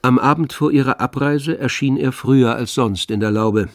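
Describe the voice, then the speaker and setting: Measured male narrator, dry studio sound. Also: mid-range voice, no echo. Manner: measured, storytelling. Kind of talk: scripted literary narration in a radio drama. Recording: dry studio, faint music underneath.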